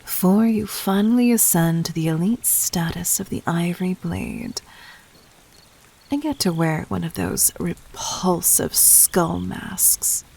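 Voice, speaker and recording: A woman playing a poised, seductive demon queen in an intimate audio drama, breathy and husky, speaking with formal, teasing condescension. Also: on the low side, big pitch swings, takes it slowly, dark tone, heavily acted, poor audio. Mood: knowing, commanding.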